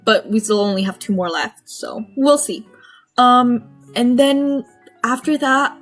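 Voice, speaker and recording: A woman with a bubbly, informal manner, reading fan fiction aloud and chatting to her listeners as an amateur narrator. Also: medium pitch. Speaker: podfic narrator and channel host. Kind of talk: amateur audiobook narration and casual chat to listeners.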